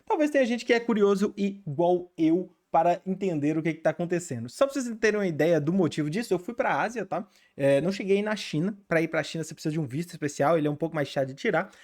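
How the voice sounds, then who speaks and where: A young Brazilian man hosting a reaction video, chatting casually and excitably with frequent filler tags. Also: high voice, fast talker, hardly any pauses. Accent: Brazilian Portuguese.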